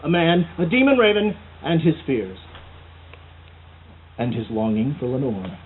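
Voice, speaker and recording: A man giving a theatrical, melodramatic dramatic reading of a spooky tale, deliberate and full of drawn-out pauses, recorded outdoors. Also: a little high, big pitch swings. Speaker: amateur performer reading poetry aloud. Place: outdoors at an evening party. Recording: muffled phone-like outdoor recording, loud background noise.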